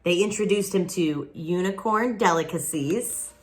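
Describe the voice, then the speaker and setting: A woman reading a picture book aloud expressively, with dramatic pauses and casual asides to young listeners. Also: lowish voice. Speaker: children's picture book reader.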